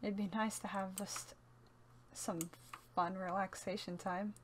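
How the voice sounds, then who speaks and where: A woman playing a calm, practical, matter-of-fact survivor in a game roleplay. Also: medium pitch, a little slow, a little hiss or hum, natural voice. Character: calm, practical young female survivor of a zombie apocalypse.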